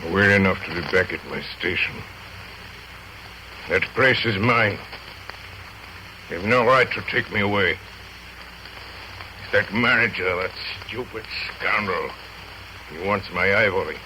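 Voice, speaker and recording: Low-voiced, fevered, obsessed sick trader. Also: male voice, unhurried, long pauses. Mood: bitter. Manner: grandiose, fevered, insistent. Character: sick, obsessed ivory trader, embittered and defiant. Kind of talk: 1930s radio drama acting. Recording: noisy old radio broadcast recording.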